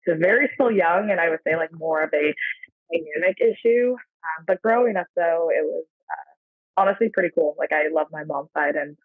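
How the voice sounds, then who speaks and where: American woman guest rambling with lots of ums, kinda gravelly, sounds like a phone line. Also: low voice, moderate pace, no room sound. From San Francisco Bay Area, USA. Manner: reflective, rambling, heavy on fillers. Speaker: podcast guest. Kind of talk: casual interview chat. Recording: tinny phone-like dry line.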